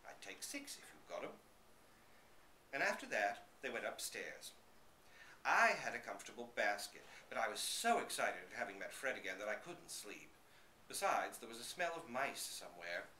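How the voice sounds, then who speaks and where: A man reads a story aloud with a very animated, bright delivery. It is a live indoor reading with room echo and a faint layer of noise. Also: mid-range voice. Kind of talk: expressive first-person story reading. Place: indoor public reading in a bookstore. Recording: roomy live recording, slight background noise.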